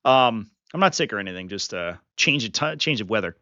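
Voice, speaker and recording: Male streamer, sniffly, chuckling and lively in pitch, up-close dry sound. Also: fairly quick, no room sound. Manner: animated, chuckling, filler-heavy. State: sniffly with a runny nose. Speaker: live stream host. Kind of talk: casual live strategy breakdown. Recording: slightly muffled, dry sound.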